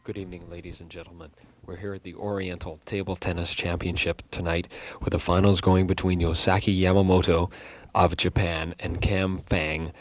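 Deep-voiced mock sportscaster man. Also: level tone, moderate pace, few pauses. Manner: formal announcer tone, trips over and corrects his words. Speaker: sportscaster in a sketch.

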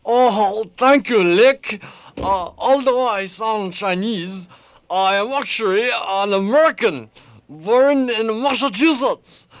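A man voicing a bumbling male radio correspondent in a comedy sketch, halting and full of "uh" fillers and corny puns. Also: high-pitched. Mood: earnest.

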